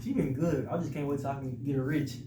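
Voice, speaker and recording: Young american guy chatting as a podcast guest, talks fast, lots of slang and cussing, echoey room. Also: mid-range voice, recorded from a distance. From United States, born in Detroit, raised in Arizona. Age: 20s. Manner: slangy, heavy cursing, frequent "you feel me" tags. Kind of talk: casual chat. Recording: echoey room with clear background noise.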